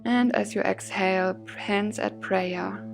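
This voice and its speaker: Slow, slightly creaky female yoga guide. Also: mid-range voice, steady tone, faint music behind. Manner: soothing, gently measured phrasing with breath cues. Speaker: yoga and meditation instructor. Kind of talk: guided yoga and gratitude meditation class, calm instruction.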